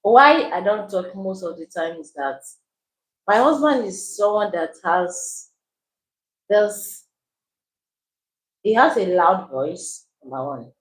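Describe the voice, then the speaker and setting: Measured woman in casual chat. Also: mid-range voice, lively intonation, rough recording. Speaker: wife co-hosting a couple's channel.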